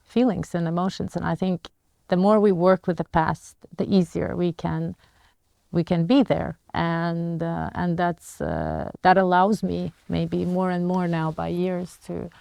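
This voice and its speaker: A woman in her forties with a Latvian accent, speaking as an interview guest in a measured, reflective and hesitant way. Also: lowish voice, gravelly, darkish tone, dry recording. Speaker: interview guest, business leader. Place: furnished indoor lounge studio.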